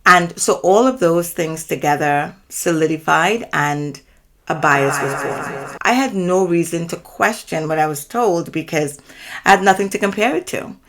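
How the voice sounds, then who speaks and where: A Trinidadian woman in her forties hosts her own channel and tells a personal story in a measured, earnest way. Her voice sits low, and she explains each point with care. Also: fairly bright tone. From Trinidad and Tobago. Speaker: channel host.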